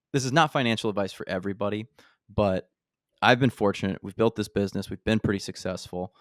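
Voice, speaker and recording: A man in his thirties with a fairly low voice talks loosely and thinks out loud, filling his sentences with "like." The sound is dry and close, with no room around it. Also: gravelly, no room sound. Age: 30s. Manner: chatty, thinks aloud with many "like"s. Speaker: podcast host. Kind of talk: casual interview chat.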